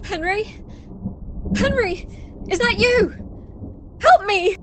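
Woman playing a high-pitched damsel in distress. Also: takes it slowly, slight background noise. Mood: distressed, anxious. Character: anxious, pleading, innocent gentlewoman in distress. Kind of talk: melodramatic radio play acting.

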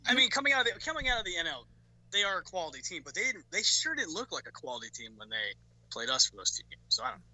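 A man guesting on a sports podcast, chatting casually and telling quick stories. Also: sits high, big pitch swings, dry recording, poor audio. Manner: quick, bright, chatty storyteller.

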